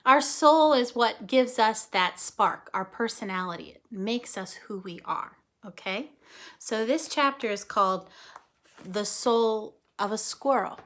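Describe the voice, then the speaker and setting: A woman reading a story aloud to children like a teacher, expressively, pausing to explain things simply and check in with her listeners. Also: fairly high pitch, fairly bright tone. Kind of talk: animated read-aloud storytelling with explanatory asides.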